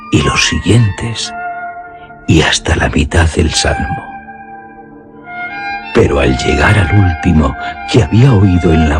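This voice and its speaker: A man narrating a literary radio drama in a solemn, theatrical, emphatic manner. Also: a bass voice. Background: music.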